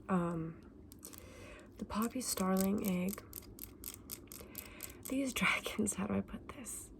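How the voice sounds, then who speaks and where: Whispering, creaky fantasy shopkeeper woman, softly acted. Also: lowish voice, a little hiss or hum. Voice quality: breathy whisper, soft. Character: friendly, candid female dragon egg shop keeper. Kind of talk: ASMR roleplay, whispered and soft-spoken.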